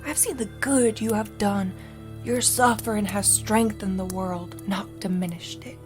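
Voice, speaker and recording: An actress playing a devoted, forgiving wife from beyond death, tender and earnest in an audio drama. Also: female voice, medium pitch, close to an everyday voice. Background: music.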